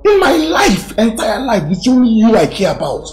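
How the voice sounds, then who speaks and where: A wheedling, domineering Nigerian husband in a screen melodrama. His pitch swings widely as he repeats himself insistently, with loud music playing behind the dialogue. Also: wildly swooping pitch, normal speed, heavily acted. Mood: anxious pleading. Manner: repeats questions and phrases insistently. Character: philandering husband pleading with and scolding women, wheedling, domineering. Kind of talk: melodramatic screen drama acting.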